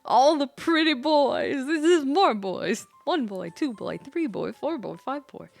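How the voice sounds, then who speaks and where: A woman streamer chats casually through a game with a giggly, playful manner. Her pace is slow but her pitch moves around a lot, and soft music plays behind her. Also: lively intonation, vocal fry. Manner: giggly, playful, repeats phrases. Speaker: streamer reading a story game aloud. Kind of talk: casual game commentary with voiced dialogue. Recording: background music.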